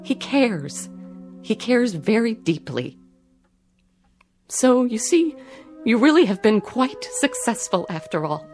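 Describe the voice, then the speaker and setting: An earnest, wounded wife, expressive voice. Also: female voice, a little high, takes it slowly, long pauses, soft background music. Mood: pained, earnest. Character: aggrieved doctor's wife, earnest, quietly confronting her husband's tormentor. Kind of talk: audio drama acting.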